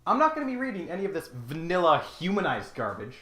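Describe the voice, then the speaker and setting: A man with a high, forceful voice delivers a sarcastic scripted comedy critique. He is emphatic and mock-grandiose as host, and the room adds echo around him, with music behind. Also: huge pitch swings, fast.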